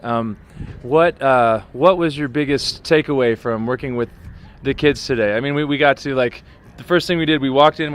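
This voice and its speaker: Casual male interviewer, higher-pitched voice. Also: fairly bright tone, smooth. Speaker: teacher interviewing students. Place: outdoors in a city.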